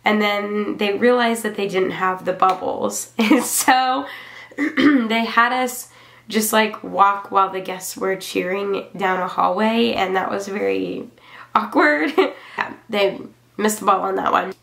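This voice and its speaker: A woman's voice: mid-range voice, takes it slowly, vocal fry, a bit bright. A woman in her twenties telling a story casually to camera in a rambling, offhand, conversational way. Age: 20s. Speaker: vlogger reviewing own wedding. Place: small furnished room at home. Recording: roomy indoor echo.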